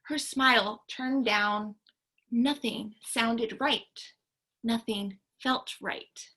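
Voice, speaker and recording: Bright, high-pitched woman reading to children. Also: poor audio. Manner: expressive, pauses to explain to young listeners. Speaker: teacher reading aloud to children. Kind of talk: animated picture-book read-aloud with teaching asides.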